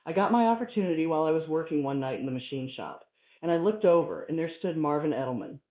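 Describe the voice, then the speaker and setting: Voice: mid-range voice, expressive, fast. A person reading a humorous first-person story aloud as scripted narration. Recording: echoey room, thin phone-like sound.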